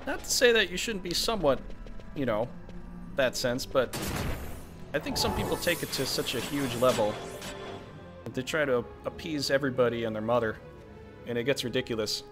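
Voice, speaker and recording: Brief: mid-pitched male host, rambling casual talk, game music behind. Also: lively intonation, moderate pace, long pauses. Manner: rambling, frank, self-interrupting. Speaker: channel host. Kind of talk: casual chat over gameplay.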